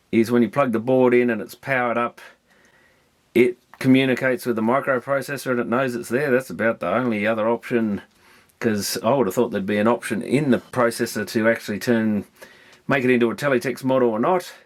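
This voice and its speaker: A man giving casual, hands-on repair commentary, thinking aloud in a rambling, matter-of-fact way as he works through electronics. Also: mid-range voice. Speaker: electronics repairer presenting a video.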